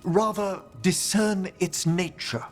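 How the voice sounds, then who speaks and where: Genteel necromancer scholar for a game: high, slow, courteous, theatrically precise. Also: male voice, fairly even intonation. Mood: scholarly delight. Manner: theatrical, erudite, precise phrasing. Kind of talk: video game voice acting. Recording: music behind the voice.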